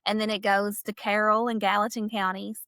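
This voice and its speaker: A woman's voice: medium pitch, normal speed, no room sound, clean studio sound. A woman, talking energetically as a candidate in a podcast interview. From southeastern Kentucky. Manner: energetic, talkative, laughs easily. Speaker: political candidate.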